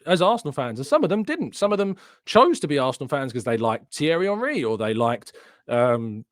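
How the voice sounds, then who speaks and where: A man's voice: mid-range voice, sing-song, fairly quick, no echo, clean studio sound. A male host arguing his point insistently in a heated debate. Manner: argumentative, insistent. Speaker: show host.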